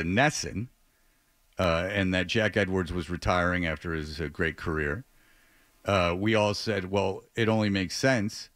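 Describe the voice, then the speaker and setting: A man hosting a radio talk show, speaking off the cuff in a halting way with stammers and repeated words. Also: low-pitched, dry recording, clean studio sound. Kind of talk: unscripted radio talk show monologue and interview. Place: radio studio.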